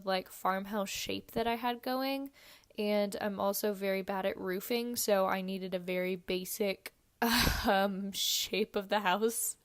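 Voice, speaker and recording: A young woman with a hoarse voice, sick and under the weather, chatting casually through a game voiceover, rambling with lots of fillers. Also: mid-range voice, no echo. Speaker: video creator.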